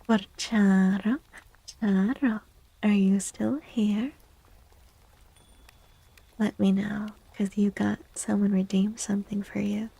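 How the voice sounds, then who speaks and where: A woman hosts a soft-spoken ASMR stream in a mellow, breathy voice that often drops toward a whisper. Her delivery is slow and gentle, with a creaky edge, and she soothingly repeats little phrases to listeners. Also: mid-range voice, steady tone.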